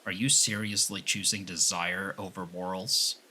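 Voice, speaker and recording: A man's voice: low-pitched, level tone, moderate pace, sharp tone, a little hiss or hum. A man playing a stern, loyal older gangland retainer in an audio drama, firm and reproachful. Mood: stern defiance. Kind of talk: ASMR roleplay audio drama acting.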